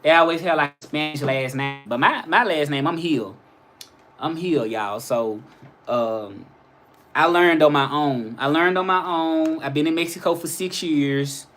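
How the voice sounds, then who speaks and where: A man in his thirties with a Memphis Southern accent, chatting casually on a live stream. His voice sits a little high for a man, and he rambles, circling back and repeating his points. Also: a little slow, fairly bright tone, faint music behind. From Memphis, Tennessee. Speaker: vlogger answering live chat.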